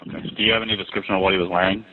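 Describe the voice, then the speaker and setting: Male dispatcher, low voice, rapid-fire procedural questions, thin phone-line sound. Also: level tone. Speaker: sheriff's office dispatcher. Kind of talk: emergency call handling. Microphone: telephone. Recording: narrow, tinny recorded phone line.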